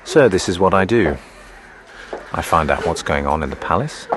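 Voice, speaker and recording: An actor playing a world-weary palace interrogator, confiding dryly and matter-of-factly as narrator of an audio drama. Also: male voice, low-pitched, lively intonation, normal speed, fairly bright tone, a little hiss or hum. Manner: confiding, dry, matter-of-fact. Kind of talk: dramatic first-person narration.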